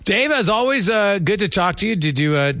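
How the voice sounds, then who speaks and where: A man's voice: sits high, big pitch swings, a little fast, a bit bright, no room sound, clean studio sound. A man hosting casual sports talk, chatty and rhetorical in the way he talks. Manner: chatty, rhetorical, piles up questions. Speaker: sports radio host.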